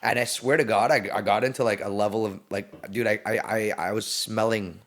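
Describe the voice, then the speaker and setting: A man's voice: on the low side, fairly even intonation. A man in his thirties co-hosting a podcast, rambling casually with lots of swearing. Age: 30s. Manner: fast, profane, rambling.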